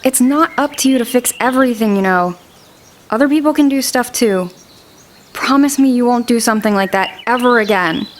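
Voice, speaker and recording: An actress playing a bossy but affectionate young princess in an audio drama. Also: female voice, a little high, normal speed, slight background noise, close to an everyday voice. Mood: fond, scolding.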